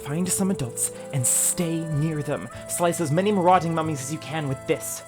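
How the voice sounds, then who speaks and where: A woman acts out a proud warrior vizier in a fantasy audio drama. She is terse, imperious and dramatic, giving orders and challenges. Music plays clearly underneath. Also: sits low, moderate pace, lightly acted. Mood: urgent, commanding. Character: commanding, defiant female vizier of poisons, a warrior. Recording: music bed behind.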